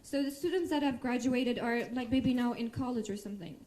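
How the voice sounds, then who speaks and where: A woman's voice: on the high side, a little fast, mellow. A young woman, arguing spontaneously as a student debater. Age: young adult.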